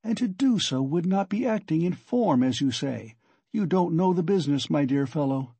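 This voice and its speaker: Man acting a sly, high-pitched, patronising investigator. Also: lively intonation, normal speed, dark tone, breathy edge, dry recording. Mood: mock-genial, patronising. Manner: mock-genial, needling, condescending. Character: sly, patronising middle-aged male police investigator. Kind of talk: audiobook character dialogue.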